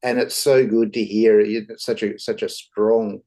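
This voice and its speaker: A man's voice: on the low side, flat delivery, unhurried, warm tone. A man interviewing musicians, asking long, rambling questions. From Australia. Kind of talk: casual interview.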